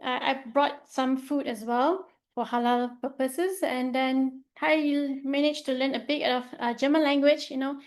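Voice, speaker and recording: Young malaysian-born doc giving a talk, high voice that stays pretty flat, lots of ums and likes. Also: female voice, a little slow, hardly any pauses. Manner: informal, loose phrasing with fillers, speaks from slides. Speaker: emergency medicine trainee presenting. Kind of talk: presentation of an elective report.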